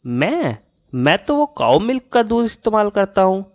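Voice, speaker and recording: A man acting a high-pitched obliging cartoon neighbour. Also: very animated, normal speed, dry recording. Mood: puzzled. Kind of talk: Hindi moral-story cartoon dialogue. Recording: music behind the voice.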